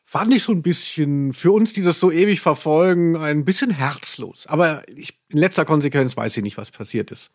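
A man's voice: on the high side, expressive, normal speed, few pauses. A man co-hosting a podcast, chatting casually. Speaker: podcast host. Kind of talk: casual chat about audio dramas.

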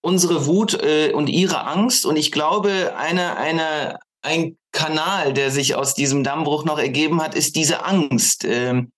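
Higher-voiced man in reflective political discussion. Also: takes it slowly, hardly any pauses, a bit bright. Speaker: podcast guest, author.

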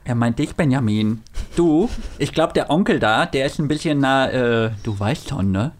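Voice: medium pitch, animated, normal speed, lightly acted. An adult voicing a simple, protective boy in a radio play reading, in a casual, plain-spoken way. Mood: matter-of-fact. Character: naive, not very bright boy, protective friend.

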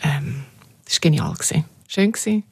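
A woman's voice: low voice, expressive, a little fast, slightly rough, no room sound. A middle-aged or older woman with a Swiss German accent, reminiscing conversationally and emphatically as an interview guest. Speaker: podcast guest, former radio editorial head.

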